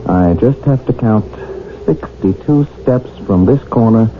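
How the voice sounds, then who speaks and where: A man's voice: lowish voice, animated, a little slow, slight background noise. Earnest young blind man, tinny radio. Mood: apologetic, earnest. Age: young adult. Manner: hesitant, apologetic, polite. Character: earnest, polite blind young man recounting his ordeal. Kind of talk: radio drama acting and first-person narration. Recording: tinny, band-limited old radio drama broadcast.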